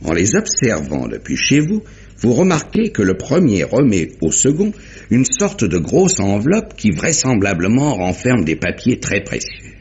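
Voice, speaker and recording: Police inspector, genial, methodical, theatrical, extremely animated intonation, dull old radio drama sound. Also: male voice, on the low side, heavily acted. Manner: deliberate, theatrical recapping. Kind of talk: 1950s French radio mystery acting.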